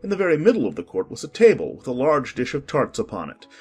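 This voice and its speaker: A man narrating a dramatized audiobook in an expressive storytelling manner. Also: fast, slightly rough. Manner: expressive storytelling, varied pitch.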